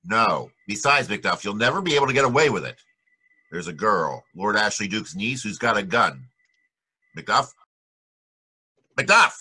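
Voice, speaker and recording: An actor playing a wry, wisecracking private detective in an old-time radio drama, with clipped, slangy delivery. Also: male voice, lowish voice, sing-song, measured pace, pauses often, sharp tone, slightly rough, exaggerated voice. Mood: concerned, insistent. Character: wisecracking, hard-boiled male private detective.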